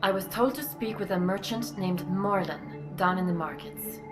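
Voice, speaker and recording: An actress playing a worried clinic doctor in a video game, earnest and polite. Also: female voice, medium pitch, moderate pace, over music, natural voice. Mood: anxious. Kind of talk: video game character acting.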